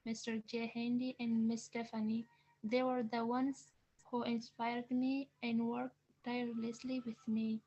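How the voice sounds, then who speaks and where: A woman's voice: fairly high pitch, darkish tone, dull audio. A woman from Afghanistan, not a native English speaker, reading a prepared public comment carefully and earnestly over a remote call. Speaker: public commenter, adult school student. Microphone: remote call audio.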